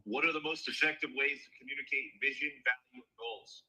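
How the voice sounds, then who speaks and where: A man's voice: medium pitch, fairly quick, bright, forceful tone, low-quality recording. A man co-hosting a live stream, reading viewer questions aloud with hesitant fillers.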